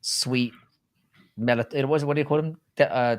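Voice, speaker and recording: A man's voice: medium pitch, fairly even intonation, normal speed. A middle-aged British man co-hosting a casual live sports chat, blunt and sweary as he rambles through banter. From Britain. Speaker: live stream co-host.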